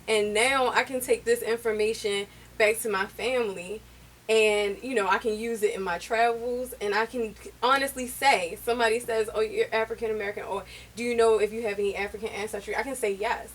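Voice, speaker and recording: A woman's voice: a little high, steady tone, a little fast, distant mic, a little hiss or hum. A woman co-owner of a beauty store who speaks emotionally and with tears, in a casual chat. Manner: emotional, speaks in short affirmations. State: tearful.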